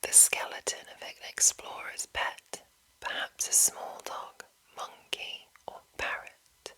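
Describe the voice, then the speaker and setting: A woman whispering breathily in an ASMR style, reading aloud in deliberate short phrases with soft commentary. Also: rough recording. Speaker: ASMR host reading a monster manual.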